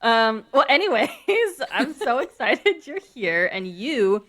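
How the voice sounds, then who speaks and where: A woman's voice: on the high side, sing-song, slow pace, fairly bright tone. Enthusiastic lady podcast host, upbeat and chatty. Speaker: podcast host, maternity leave coach. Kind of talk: casual podcast chat.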